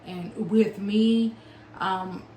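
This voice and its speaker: Very slow-talking woman who stutters. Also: mid-range voice, fairly even intonation, warm tone, reverberant, slight background noise, low-quality recording. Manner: stutters, with frequent pauses and blocks; smacks lips between words. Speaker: small business owner and author. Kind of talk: personal talk to camera.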